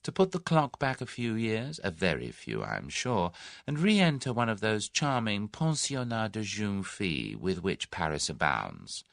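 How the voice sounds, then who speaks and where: A man reading the part of a large, smooth-talking, scheming businessman in an audiobook, measured and persuasive. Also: lowish voice, sing-song, fairly bright tone, no room sound, studio quality, pitched above the performer's own voice, full character voice.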